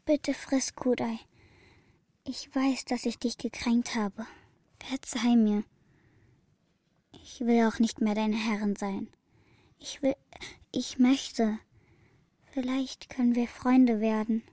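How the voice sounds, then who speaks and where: An earnest young girl in a children's radio play. Her voice sits fairly high, and she speaks with a stubborn sincerity that can turn to pleading. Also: steady tone, slow pace, pauses often, dark tone, no room sound, clean studio sound. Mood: remorseful, tender.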